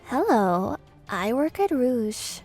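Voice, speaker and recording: A woman voicing a coy, alluring assistant posing as an escort in a cartoon, soft-spoken. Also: a little high, big pitch swings, takes it slowly, faint music behind, exaggerated voice.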